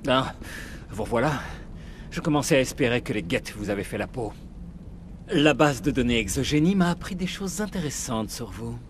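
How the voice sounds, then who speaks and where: An actor playing a ruthless, agitated corporate official in a dramatic game dub, with a volatile, sneering, cynical delivery. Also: male voice, medium pitch, expressive, moderate pace, a little hiss or hum, natural voice. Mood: cold, menacing. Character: ruthless, agitated male corporate official holding colonists hostage.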